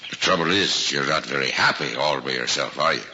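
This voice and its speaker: A man's voice: rumbling low, brisk. An actor playing a kindly, fatherly working-class cab driver with an American accent and folksy, colloquial speech in a mid-century screen drama. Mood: gentle, fatherly. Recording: muffled, noisy old archival film soundtrack.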